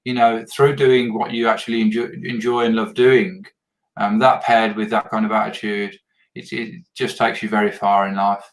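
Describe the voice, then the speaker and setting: A man hosting a live video podcast interview, earnest and warm in his praise, often repeating words as he gathers his thoughts. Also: lowish voice, flat delivery, moderate pace, poor audio. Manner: earnest, repeats words when searching for phrasing. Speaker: podcast host.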